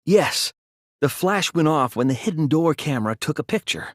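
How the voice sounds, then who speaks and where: Husky, earnest teen boy detective. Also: fairly high pitch, animated, normal speed, no room sound, studio quality. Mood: careful reasoning. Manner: earnest, careful explaining, hesitant. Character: earnest, soft-spoken, hesitant teenage boy detective. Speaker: video game voice actor. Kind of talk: dubbed detective game dialogue.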